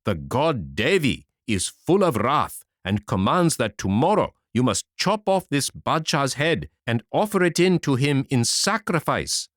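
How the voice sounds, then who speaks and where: A man voicing a fat, self-important chief priest, loud and agitated, with his voice pitched up. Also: sing-song, a little slow, few pauses, no room sound, clean studio sound. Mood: grave.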